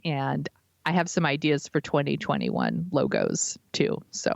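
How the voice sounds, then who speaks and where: A woman's voice: low-pitched, wildly swooping pitch, a little slow, gravelly, dry recording, muffled recording. A woman co-hosting a podcast in casual chat, with an informal, enthusiastic manner. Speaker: podcast co-host.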